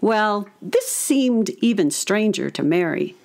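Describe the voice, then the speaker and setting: A woman narrating a children's story from a script in a measured, expressive storytelling manner. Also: medium pitch, gravelly.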